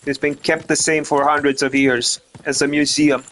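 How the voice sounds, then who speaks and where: A streamer talks through his gameplay in a flat, even voice. He rambles, restarts his sentences over and over, and swears without a second thought. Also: a little high, normal speed, slight background noise, low-quality recording. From Romania. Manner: rambling, stumbles over repeated words, swears casually. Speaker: gaming streamer. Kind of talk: casual gameplay commentary.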